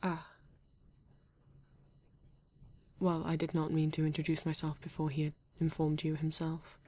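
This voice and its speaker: Woman as a low, even-voiced British male android. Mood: polite, reserved. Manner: formal, stiff, deliberate phrasing with halting pauses. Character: formal reserved male android police detective, newly awakened. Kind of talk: ASMR roleplay addressed to the listener.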